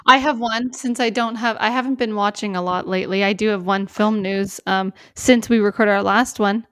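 A woman's voice: mid-range voice, fairly even intonation, normal speed, no room sound, clean studio sound. A young adult woman co-hosting a casual film and TV podcast in an informal, upbeat, appreciative way. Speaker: podcast co-host.

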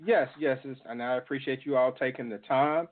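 A man's voice: medium pitch, fairly quick. A man hosting a radio podcast interview in a casual, joking way. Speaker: radio show and podcast host. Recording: dull audio with faint noise and clicks.

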